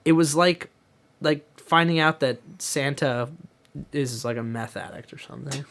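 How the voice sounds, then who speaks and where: A man's voice: mid-range voice, unhurried, a bit bright. A man co-hosting a podcast, giving casual, opinionated and exaggerated film reviews with some swearing. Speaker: podcast co-host. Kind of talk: casual movie-review banter.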